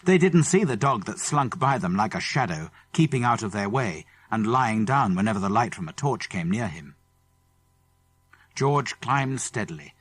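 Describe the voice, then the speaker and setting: A British male audiobook narrator tells a children's story with drama. His pitch is ordinary but moves a lot, the voice is a little husky, and the studio sound is dry. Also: dry recording. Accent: British English. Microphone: close studio microphone.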